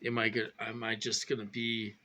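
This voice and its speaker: A man of fifty talking to viewers in a rambling, halting monologue, full of fillers and repeats, sounding anxious and insistent. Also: lowish voice, monotone, sharp tone, a little hiss or hum. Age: 50s. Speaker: vlogger.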